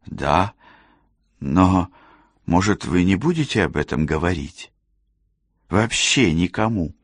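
A man's voice: low-pitched, big pitch swings, slow pace, dry recording, clean studio sound, heavily acted. A man voicing a young female detective who pleads in a strained, hesitant, apologetic way. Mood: hesitant, pleading.

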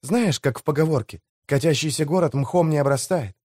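Man voicing a wary, higher-pitched young woman. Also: a little fast, a little mellow, no room sound, studio quality, lightly acted. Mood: hushed, explaining. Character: wary young female companion of a pirate captive.

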